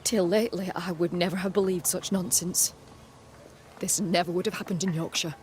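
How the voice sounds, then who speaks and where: A woman's voice: fairly low pitch, steady tone, moderate pace, darkish tone, slight background noise, close to an everyday voice. A woman voicing a proud, pious colonial healer with a prim, curt and formal manner in a video game. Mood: troubled.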